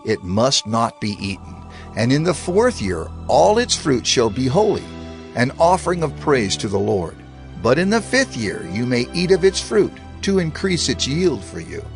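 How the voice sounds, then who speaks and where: God laying down the law. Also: male voice, mid-range voice, sing-song, normal speed, faint music behind. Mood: commanding. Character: God giving laws, measured and commanding. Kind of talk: dramatized scripture reading.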